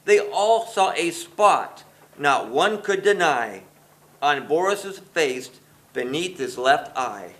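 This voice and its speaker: Lively, high-voiced male storybook reader. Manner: expressive, sing-song rhythmic delivery. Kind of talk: rhyming children's story narration.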